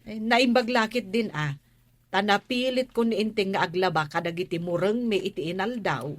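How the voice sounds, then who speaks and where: An actress with an Ilocano accent playing a bossy, complaining village wife in a radio comedy drama. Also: female voice, mid-range voice, moderate pace, no echo, natural voice.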